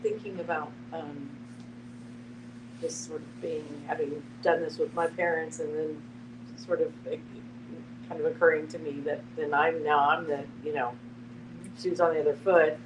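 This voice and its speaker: A woman's voice: low-pitched, fairly even intonation, normal speed. A mature woman thinking aloud hesitantly as she takes part in a casual, thoughtful discussion. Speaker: discussion participant. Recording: very noisy room, distant mic.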